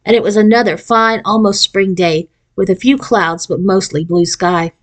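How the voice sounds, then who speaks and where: A woman narrating a children's novel as an expressive read-aloud. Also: mid-range voice, moderate pace. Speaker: storybook reader.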